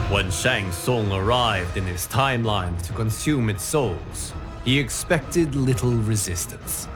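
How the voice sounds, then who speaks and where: A man playing a grave, godlike guardian of timelines in a video game, narrating cutscenes in a solemn, formal, deliberate way. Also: fairly low pitch. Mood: solemn recounting. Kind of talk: dramatic scripted narration.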